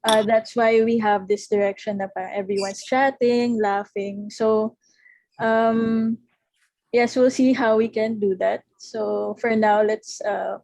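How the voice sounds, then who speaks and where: A woman's voice: mid-range voice, creaky, a little mellow, dry recording, poor audio. A woman with a Filipino accent reading stage directions and giving informal instructions on a video call. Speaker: narrator reading stage directions at a table read. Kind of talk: scripted narration with informal instructions.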